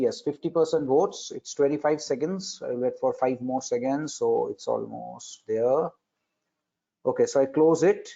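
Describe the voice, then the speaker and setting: A man's voice: mid-range voice, mellow. A man, moderating a webinar and politely running timed polls. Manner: polite, procedural, counting down the time. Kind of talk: moderating an online session. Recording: dull lo-fi online call audio.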